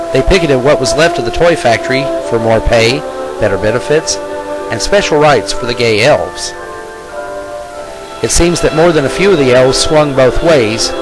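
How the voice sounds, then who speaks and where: A man's voice: mid-range voice, poor audio. A man narrating a scripted comic story in a mock-serious storytelling manner. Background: music.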